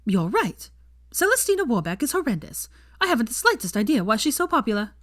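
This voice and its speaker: A woman's voice: fairly high pitch, sing-song, brisk, no echo, studio quality, pitched above the performer's own voice. A woman voicing a drunk, haughty young woman in a storybook, whiny, indignant and theatrical. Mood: earnest.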